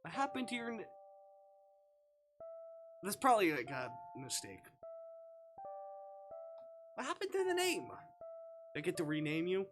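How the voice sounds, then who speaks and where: A man live-streaming a visual novel, reacting casually in an animated, giggly way as he comments and talks to chat. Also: high voice, unhurried, pauses often, music in the background.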